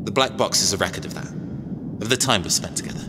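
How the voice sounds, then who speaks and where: A man's voice: on the low side, brisk, long reverb tail, very noisy, natural voice. A man playing a cagey, self-assured robot engineer mercenary in an audio drama, wry, conversational and hesitant. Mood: sheepish, fond.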